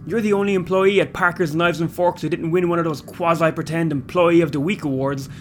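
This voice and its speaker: Man putting on a shrill, jumpy Dublin lad. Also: fairly even intonation, brisk, a little hiss or hum, natural voice. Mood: indignant. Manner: shrill, indignant ranting.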